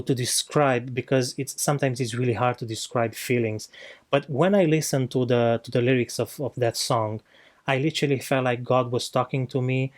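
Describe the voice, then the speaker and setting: A young man speaks English with a Romanian accent, telling his own story on a podcast. He is earnest and searches for words, stopping and restarting often. His voice sits at an ordinary middle pitch for a man. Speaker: podcast co-host. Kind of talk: casual personal testimony. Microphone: video podcast mic.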